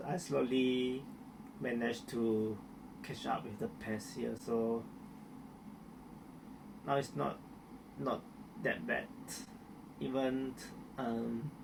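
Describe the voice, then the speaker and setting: A man's voice: medium pitch, gravelly, far from the mic, background noise. A young man from Malaysia with a Malaysian accent, answering interview questions in a hesitant way with many restarts and fillers.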